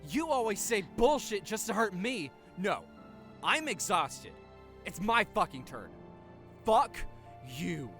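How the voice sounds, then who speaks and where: Man acting furious older brother, high-pitched and explosive. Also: sing-song, slow pace, fairly bright tone, soft background music, exaggerated voice. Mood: exhausted anger. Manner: explosive, profane, accusing. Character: furious, exhausted older brother who raised his sister. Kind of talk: dramatic audio fiction acting.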